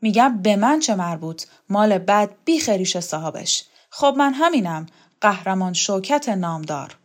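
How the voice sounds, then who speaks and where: A woman's voice: medium pitch, animated, lightly acted. Woman playing a coarse, hot-tempered fighting woman. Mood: proud. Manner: swearing, growling, boastful.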